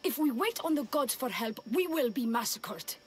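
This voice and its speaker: A woman's voice: on the high side, big pitch swings, normal speed. An actress playing a feisty, headstrong woman warrior, a younger sister, in a video game, forthright and sardonic. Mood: urgent, defiant. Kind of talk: video game cutscene acting.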